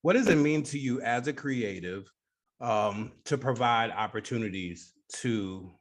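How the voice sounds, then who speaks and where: A man's voice: medium pitch, animated, a little slow. A man hosting a radio show, interviewing a guest and repeating phrases for emphasis.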